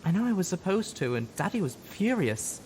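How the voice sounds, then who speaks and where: A man's voice: sing-song, a little fast, a little mellow, rough recording. A man voicing a timid, resigned young male pony in an audiobook, hesitant and subdued, with noise behind him. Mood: guilty, resigned. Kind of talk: audiobook character voice. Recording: noticeable background noise with low rumble.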